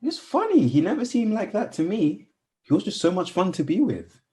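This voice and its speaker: An actor playing an earnest, polite young family friend in a radio play, speaking in a hesitant, apologetic, wistful way. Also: male voice, high voice, very animated, moderate pace. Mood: nostalgic. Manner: hesitant, apologetic, soft-spoken.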